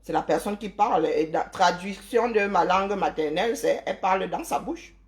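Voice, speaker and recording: A woman's voice: medium pitch, moderate pace. Emphatic woman lecturing her viewers. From Cameroon. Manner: emphatic, lecturing, full of rhetorical questions and "hein". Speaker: live-stream host. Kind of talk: opinionated live-stream monologue.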